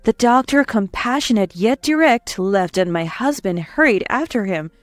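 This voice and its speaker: High-voiced woman narrating a dramatic story. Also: expressive, moderate pace, dry recording. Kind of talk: first-person dramatic scripted narration. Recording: dry close voice over faint music. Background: soft background music.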